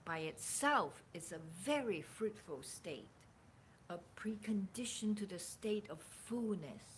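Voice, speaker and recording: Slow-reading woman, deliberate and emphatic. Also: mid-range voice, expressive, a little hiss or hum. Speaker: spiritual teacher reading aloud. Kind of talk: slow dramatic reading of spiritual dialogue with casual asides.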